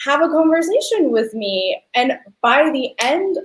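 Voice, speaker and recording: A woman hosting an interview, talking in an animated, affirming and conversational way. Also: high-pitched, a little slow. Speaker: interview host. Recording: roomy, echoey sound.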